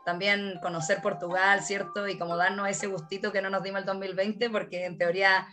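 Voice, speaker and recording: A woman's voice: mid-range voice, flat delivery, a little fast. A woman from Chile with a Chilean Spanish accent, chatting as an interview guest and telling her story with many fillers and laughs. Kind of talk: casual storytelling conversation.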